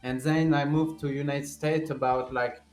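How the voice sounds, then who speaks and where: A man's voice: on the high side, flat delivery, normal speed, darkish tone. A young man with a strong French accent talks in a friendly, rambling way, leaning on "like" and "you know". His voice rings in a roomy, echoey space with faint music under it. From France. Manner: friendly, talkative, leans on fillers like "like" and "you know". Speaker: guest. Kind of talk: casual podcast interview.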